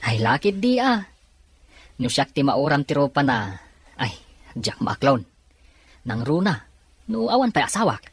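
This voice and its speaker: A man's voice: mid-range voice, very animated, unhurried, pauses often, slightly rough, heavily acted. A man playing a shallow, callous young buddy in melodrama dialogue. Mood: dismissive.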